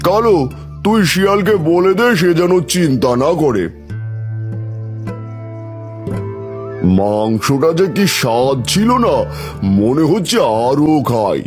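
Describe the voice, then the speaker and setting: A man's voice: a little high, sing-song, unhurried. A man voicing a self-serving, gluttonous tiger king with a pompous manner in a children's cartoon. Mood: smug, savoring. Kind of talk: children's fairy-tale cartoon acting. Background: music.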